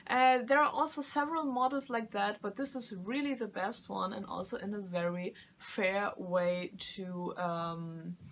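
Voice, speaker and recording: A woman's voice: mid-range voice, steady tone, measured pace, hardly any pauses. A middle-aged woman and non-native English speaker, talking casually to camera as a tarot reader and vocal coach, with many fillers and restarts. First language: not English.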